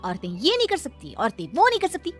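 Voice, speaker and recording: A woman's voice: sits high, wildly swooping pitch, fast talker, soft background music, pitched above the performer's own voice. A woman voicing an outspoken, indignant young city-bred daughter-in-law in a cartoon moral story. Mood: defiant.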